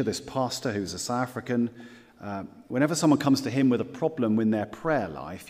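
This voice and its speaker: A man preaching a sermon as pastor, earnest and measured, repeating key phrases and slowing down for scripture quotes. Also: medium pitch, big-room sound.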